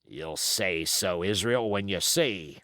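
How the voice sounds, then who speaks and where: A man voicing a sly, commanding pirate sea cook in a storybook, with folksy sailor grammar and deliberate, weighty delivery. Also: low voice, animated, pushed and bright, no room sound, clean studio sound, pitched below the performer's own voice, heavily acted. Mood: cold, menacing.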